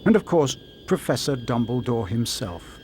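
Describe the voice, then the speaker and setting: A man's voice: mid-range voice, big pitch swings, normal speed, background noise. A man narrating cutscenes in a video game. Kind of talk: scripted narration.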